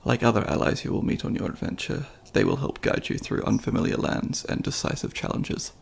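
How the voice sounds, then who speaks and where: A man playing a devout, solemn monk elder in a fantasy ASMR roleplay, speaking in a measured, formal, reverent way with a breathy, creaky voice. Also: medium pitch.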